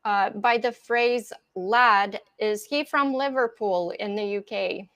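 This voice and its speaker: A woman from Ukraine, co-hosting a casual livestream and reading out viewer questions in a relaxed way. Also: mid-range voice, slow, dry recording. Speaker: co-host.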